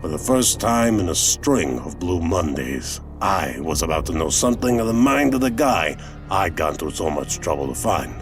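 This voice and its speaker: Older male gumshoe, very low voice, swooping inflection, world-weary, wry, colloquial, audio drama narration. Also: big pitch swings. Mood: intrigued, musing. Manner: wry, colloquial, deliberate storytelling. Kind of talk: first-person noir audio drama narration. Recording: music under the voice. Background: music.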